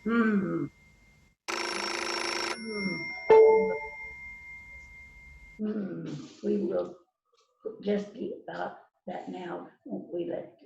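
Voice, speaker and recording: A woman's voice: mid-range voice, vocal fry. A woman playing a stern police detective in an amateur mystery reading, stumbling and repeating words as she reads. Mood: musing. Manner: halting read-aloud delivery with stumbles and repeats. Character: stern female police detective questioning suspects. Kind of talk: amateur readers theater mystery. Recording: echoey, muffled, noisy online call.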